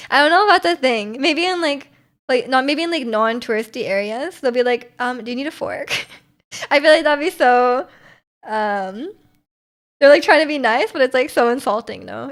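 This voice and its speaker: A young woman streaming live and chatting casually with her viewers. Her voice sits a little high, and she rambles, restarting sentences and filling gaps with likes and ums. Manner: rambling, many fillers and restarts, frequent laughter. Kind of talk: casual chat reading viewer comments.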